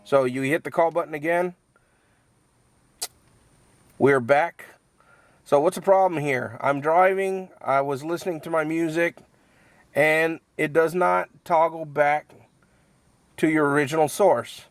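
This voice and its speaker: A man giving a casual, unscripted product review, halting as he thinks aloud and repeats words, in a matter-of-fact, mildly critical way. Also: on the high side, no echo. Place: inside a truck cab.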